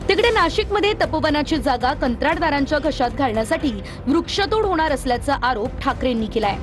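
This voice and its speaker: A woman's voice: sits high, animated, fairly quick, music in the background. A woman news anchor reading a scripted news report.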